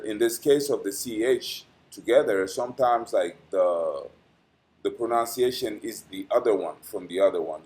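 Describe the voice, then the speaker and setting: A man with a somewhat low voice hosts an astrology channel and reads a dense weekly forecast. He stumbles now and then and fills gaps with "uh", pronouncing each English word with care. His sign-off turns chatty and meandering. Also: a little mellow. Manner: reads dense prepared text with frequent "uh" fillers and stumbles; careful pronunciation of English as a later-learned language. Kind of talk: reading a weekly horoscope, then casual sign-off.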